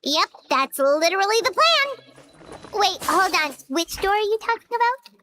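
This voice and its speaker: Super high squeaky little fairy girl, chirpy and boastful, anime game guide, bouncy delivery. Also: big pitch swings, normal speed, background noise. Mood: curious. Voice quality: squeaky, childlike. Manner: refers to self in third person, chirpy. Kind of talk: anime-style video game dialogue.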